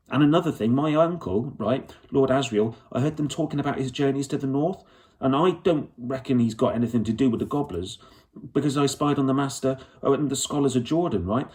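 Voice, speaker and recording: A fierce, earnest young girl from a storybook, played by a man, with the voice lifted above his own. The speech is eager and breathless, tumbling along in rough colloquial grammar. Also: mid-range voice, a little mellow, voice pushed up, lightly acted. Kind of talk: children's storybook reading.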